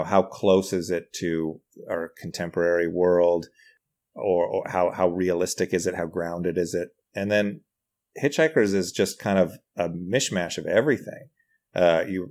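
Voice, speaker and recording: A man in a casual podcast discussion who thinks aloud, often halting and restarting his phrases. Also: low voice, darkish tone. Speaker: podcast guest, comedy writer.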